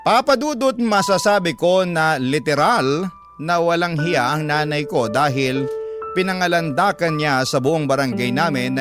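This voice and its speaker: A man with a Filipino accent reading a listener's letter as radio storytelling narration. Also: a little high. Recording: music bed clearly behind voice.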